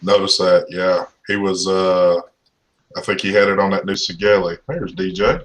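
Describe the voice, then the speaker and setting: A man hosting a casual live show, bantering in a relaxed way with guests and laughing often. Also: deep voice, normal speed. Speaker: show host.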